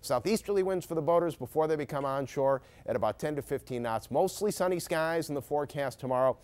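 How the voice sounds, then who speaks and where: A man's voice: on the high side, quick pace, darkish tone. A man presenting a TV weather forecast in a conversational, explanatory way, as if talking the viewer through it.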